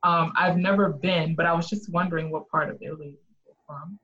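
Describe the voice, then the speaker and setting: A woman hosts a podcast in a friendly, chatty tone, her voice sitting slightly low. The recording sounds dull and lo-fi, like a modest home setup. She asks her questions with easy curiosity. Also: steady tone, a little fast, a bit bright. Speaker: podcast host. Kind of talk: casual interview chat.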